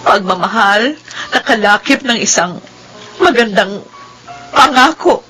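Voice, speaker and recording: A woman's voice: mid-range voice, animated, unhurried, pushed and bright, soft background music, low-quality recording. An actress playing a loving, forgiving middle-aged mother in a Filipino radio drama, tender and sentimental. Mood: tender joy. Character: loving, forgiving mother of grown children, gentle and devout.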